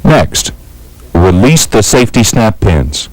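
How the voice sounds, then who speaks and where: A man's voice: on the low side, sing-song, slow pace, darkish tone, no room sound, rough recording. A man with an American accent reading scripted instructional narration in a formal, methodical, step-by-step way. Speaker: training film narrator.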